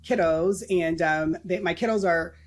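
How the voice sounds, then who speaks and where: A woman's voice: on the low side, normal speed. Middle-aged woman, from southern california, casual interview guest, rambling with ums, laughs easily. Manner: chatty, many ums and restarts, laughs easily. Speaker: guest, nonprofit executive.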